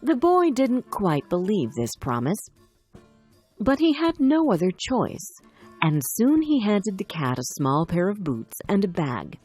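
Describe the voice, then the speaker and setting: A woman's voice: mid-range voice, sing-song, measured pace, a little mellow, slightly rough. A woman reading a scripted children's story as the storyteller. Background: faint music.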